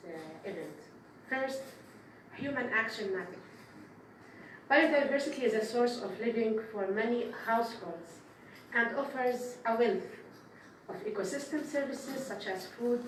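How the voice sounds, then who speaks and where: A woman's voice: on the high side, a little hiss or hum. A woman, a development official giving formal remarks at a press event. She speaks earnestly and often restarts her phrases. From Palestinian, raised in Syria and Jordan. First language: Arabic. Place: indoor press conference room. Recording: echoey room, mic at a distance.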